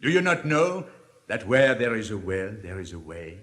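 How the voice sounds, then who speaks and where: Suave cynical libertine, lively swinging intonation, declamatory 1950s stage drama, long hall reverb. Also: male voice, on the low side. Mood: earnest, rhetorical. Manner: rhetorical, deliberate, declamatory. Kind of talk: 1950s theatrical dramatic reading.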